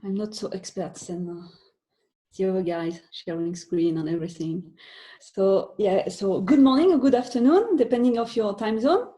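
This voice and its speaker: A woman's voice: medium pitch, moderate pace, dark tone. A woman from France with a French accent, presenting a talk from home for an online webinar, with many fillers and restarts. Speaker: conference speaker.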